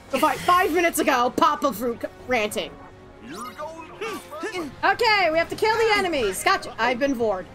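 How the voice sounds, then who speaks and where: A woman streaming a video game, with excitable, theatrical, ranting live commentary. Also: high voice, measured pace, pauses often. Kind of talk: live gameplay commentary and chat. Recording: loud game music behind. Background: video game music and effects.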